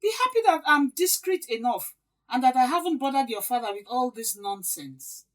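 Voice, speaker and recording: A woman's voice: sits high, lively intonation, normal speed. A woman with a Nigerian accent playing a domineering middle-aged stepmother, sarcastic and nagging in an audio drama. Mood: exasperated, scolding.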